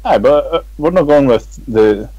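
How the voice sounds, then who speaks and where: A Scottish man chatting on a podcast, stumbling over his words and joking as he goes. His voice sits at a middle pitch. The sound is dry, with no room echo. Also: expressive, moderate pace, mellow, lo-fi sound. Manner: hesitant, restarts a lot, jokey. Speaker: podcast co-host. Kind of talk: casual chat.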